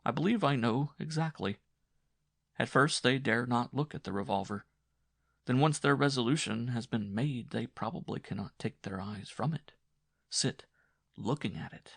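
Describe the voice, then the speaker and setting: Man acting a gravelly, morbidly brooding man. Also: medium pitch, moderate pace, no room sound, studio quality. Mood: morbidly fascinated. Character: brooding man fascinated by his own suicide. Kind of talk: dramatic first-person horror monologue.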